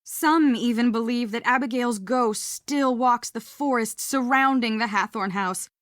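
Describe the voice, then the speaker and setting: A woman acts as a mysterious female witch guide in a video game. She speaks slowly and theatrically, with ominous ghost-story drama, in a dry, close recording. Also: fairly high pitch, no room sound, lightly acted.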